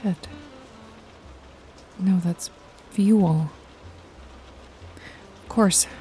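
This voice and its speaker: A woman's voice: on the low side, drawn-out words, warm tone. A woman playing a wry, jaded detective and hired killer in a noir ASMR roleplay, nonchalant and teasing with a casually menacing edge. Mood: grim, annoyed. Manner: sardonic, foul-mouthed, nonchalant, teasing. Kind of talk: noir ASMR roleplay, intimate one-sided dialogue to listener. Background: jazzy music and rain effects.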